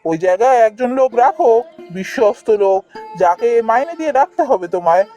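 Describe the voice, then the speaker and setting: A woman's voice: on the high side, big pitch swings, a little fast, mellow, soft background music. A woman voicing a principled, kind-hearted younger brother in a fairy-tale cartoon, earnest and firm. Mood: hurt, defiant.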